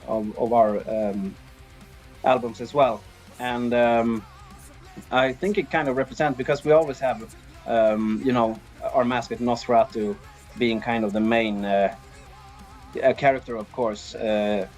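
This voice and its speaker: A man's voice: medium pitch, fairly even intonation, a little slow, darkish tone. Swedish-accented man, music behind him. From Sweden. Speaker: band drummer, interview guest. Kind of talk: casual interview.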